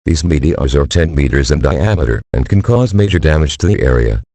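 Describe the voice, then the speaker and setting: A male synthetic-style voice as an impersonal emergency alert announcer, reading formal warnings in a flat, clipped manner. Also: rumbling low, brisk, breathy edge, no echo, heavily acted. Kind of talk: scripted emergency broadcast announcement.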